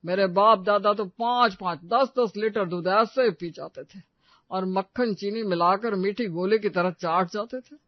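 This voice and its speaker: A man acts out an older Indian grandfather in a cartoon family tale. His voice is high for a man, stubborn and full of grumbles. The recording is very dry and a bit muffled. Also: unhurried, no echo. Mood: indignant, boastful. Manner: complaining, stubborn.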